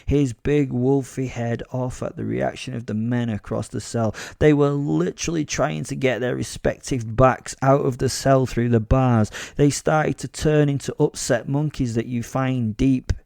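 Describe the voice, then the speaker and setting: A man's voice: medium pitch, unhurried, gravelly, hardly any pauses, darkish tone, no echo, studio quality. A British man playing a gleefully sadistic, sardonic werewolf serial killer, narrating a horror audio drama in the first person with sweary, darkly comic relish. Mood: wry, savouring.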